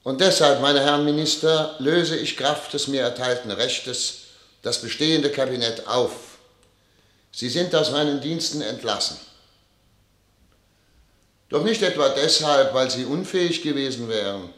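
A man's voice: medium pitch, measured pace, a bit bright, lightly acted. An actor playing a solemn, disillusioned ruler who addresses his ministers in a grand, rhetorical radio drama style. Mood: stern, oratorical. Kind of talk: theatrical radio drama oratory. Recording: echoey hall, reverberant studio radio drama.

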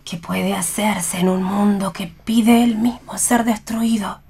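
Breathy, slow-speaking grave mother. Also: medium pitch, fairly even intonation, a little mellow, heavily acted. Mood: bleak, hushed. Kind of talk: theatrical radio play reading.

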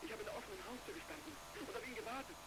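An actor playing an anxious, hesitant travel writer obsessed with the wind, in a radio drama. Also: male voice, very high-pitched, rapid-fire. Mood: agitated, frightened. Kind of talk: radio play acting. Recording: noisy, humming telephone line.

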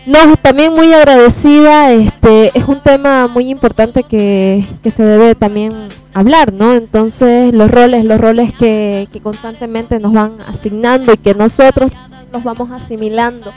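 A woman's voice: a little high, fairly even intonation, measured pace, a little mellow, faint music behind. A woman talking casually on a radio panel, building her points tentatively with repeated phrases. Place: radio booth.

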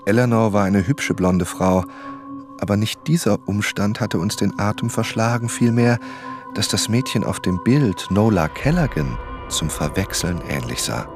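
Earnest writer narrator, deep male voice, scripted radio drama, music behind. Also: expressive, normal speed. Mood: suspenseful.

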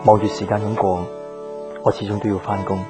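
Radio drama lead: male actor as guarded, introspective young Hong Kong Cantonese office man, low voice, guitar music behind. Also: flat delivery, brisk, close to an everyday voice. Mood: brooding, reflective.

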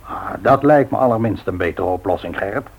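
A man's voice: fairly low pitch, animated, a little fast, a little hiss or hum. An actor playing a grumbling, teasing old husband and grandfather in a radio play, muttering and repeating himself. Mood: calm, reassuring. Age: older. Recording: dull old radio recording.

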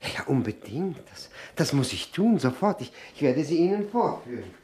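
An actor playing a nervous, self-abasing widower who drinks, stammering and repeating himself in an old radio play. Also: male voice, fairly high pitch, sing-song, normal speed, darkish tone, breathy edge. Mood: eager. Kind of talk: 1960 German radio play acting. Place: radio drama studio.

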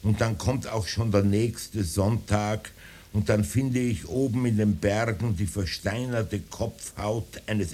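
An old man delivering a radio-play monologue in a dark, mellow, fairly low voice. He unspools long sentences very slowly and evenly measured, treating his absurd apocalyptic visions as dry matter of fact.

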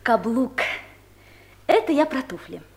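An actress playing a brisk, self-assured young secretary who knows fashion, in a 1970s radio comedy. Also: female voice, high voice, big pitch swings, darkish tone, slight background noise, heavily acted. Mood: confident, lecturing. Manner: instructive, emphatic, self-assured.

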